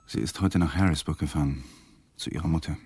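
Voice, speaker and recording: An actor playing a lawyer and husband with a quiet, restrained, husky manner in a radio play. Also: male voice, very deep voice, quick pace, no room sound, exaggerated voice.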